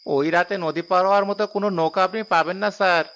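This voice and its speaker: A man's voice: high voice, fairly even intonation, fast, a bit bright. A man voicing a deferential, superstitious village bullock-cart driver in a cartoon, respectful and cautioning in a rustic way.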